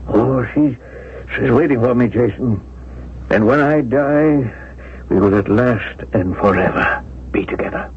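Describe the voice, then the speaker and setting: An actor playing a lovelorn old uncle in a 1970s radio drama, husky, dreamy and halting as he speaks. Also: mid-range voice. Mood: wistful, dreamy. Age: older. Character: lovelorn old uncle, wistful, devoted to dead sweetheart. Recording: dull 1970s radio recording.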